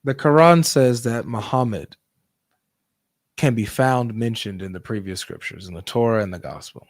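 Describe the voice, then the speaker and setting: A man's voice: mid-range voice, moderate pace, dry recording. A man hosting a live debate, asking probing, pointed questions.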